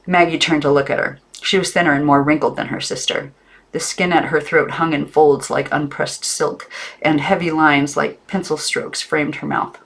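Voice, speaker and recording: A woman's voice: low-pitched, vocal fry, slightly rough. Woman reading a storybook expressively. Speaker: audiobook reader. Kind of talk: storybook narration, read off the cuff.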